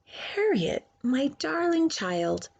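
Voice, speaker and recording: A woman voicing an exasperated but loving storybook mother, with drawn-out, pleading emphasis. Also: sits high, big pitch swings, creaky, a little mellow, lo-fi sound, voice pushed up, full character voice. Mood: exasperated, restrained.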